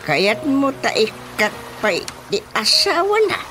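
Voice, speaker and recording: A man's voice: high voice, huge pitch swings, takes it slowly, background noise, exaggerated voice. A man acting a stern village chairman in a radio drama, scolding and emphatic. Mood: scolding, indignant.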